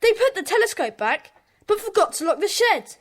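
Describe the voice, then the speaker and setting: A woman's voice: extremely high pitch, very animated, brisk. A woman playing an earnest schoolboy detective in a children's audio drama. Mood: urgent.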